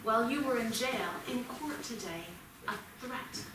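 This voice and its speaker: A woman's voice: a little high, expressive, measured pace. An actress playing a stern, worried mother of a teenage son in a staged play reading. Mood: tense, reproachful. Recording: echoey theatre, distant mic, clear noise.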